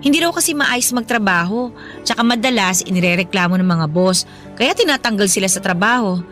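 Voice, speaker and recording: A woman playing a caring, supportive young wife in a radio drama, speaking gently and conversationally. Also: medium pitch, animated, a little fast, soft background music, close to an everyday voice. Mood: gentle, reassuring. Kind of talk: Tagalog radio drama dialogue.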